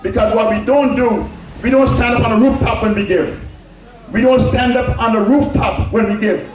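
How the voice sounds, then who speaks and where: Man, Anguillian accent, high voice, emphatic orator, repeats phrases, very echoey distant recording. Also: fairly even intonation, moderate pace. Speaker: public speaker. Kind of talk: public address with personal reminiscence.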